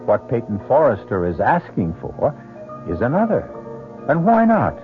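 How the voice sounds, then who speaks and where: An actor as a grave, ironic host narrating an old-time radio mystery in a deliberate, portentous way. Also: male voice, medium pitch, huge pitch swings. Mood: wry, ominous. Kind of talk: dramatic scripted radio narration. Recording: tinny old radio recording, music underneath.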